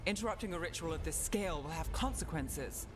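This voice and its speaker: Man playing a cautious, higher-voiced mage companion. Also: lively intonation, fairly quick, lightly acted. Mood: wary warning. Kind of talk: video game acting. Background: cinematic music.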